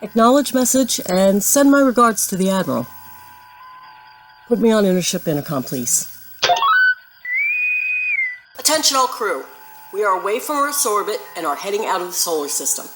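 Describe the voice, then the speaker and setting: A woman playing a confident, good-humoured starship captain in a science fiction audio drama, brisk and commanding. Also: mid-range voice, big pitch swings, loud music behind the voice, lightly acted, poor audio. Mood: formal, authoritative.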